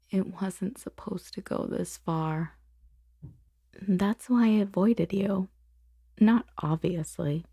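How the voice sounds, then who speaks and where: A woman playing a controlled, powerful boss torn by forbidden attraction, in an intimate ASMR roleplay, speaking softly and deliberately with lingering pauses, often dropping to a whisper. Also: lowish voice, slightly rough, no echo, clean studio sound, full character voice. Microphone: close, dry microphone.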